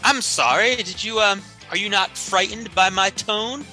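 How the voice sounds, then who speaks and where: A woman's voice: mid-range voice, a little slow, pushed and bright, soft background music, voice pushed up. A woman playing a pompous, preachy judge of sinners who gets flustered, in improvised comedy. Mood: stern, flustered. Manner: preachy, faltering. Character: pompous fire-and-brimstone damnation judge, easily flustered.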